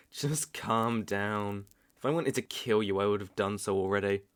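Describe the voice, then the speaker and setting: A young British man playing a stern, taunting vampire hunter in a roleplay audio drama, speaking deliberately and with cool menace. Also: fairly low pitch, lively intonation. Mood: smug, menacing. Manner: slow, deliberate, threatening.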